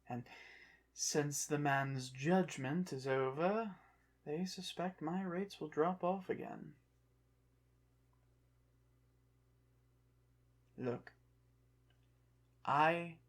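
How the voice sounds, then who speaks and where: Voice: medium pitch, a little mellow. An actor voicing a proud, touchy ancient Egyptian revived from the dead, speaking formally and guardedly in an ASMR roleplay. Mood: weary, apologetic. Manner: formal, haughty, guarded, halting phrases. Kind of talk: ASMR roleplay, one side of a dialogue.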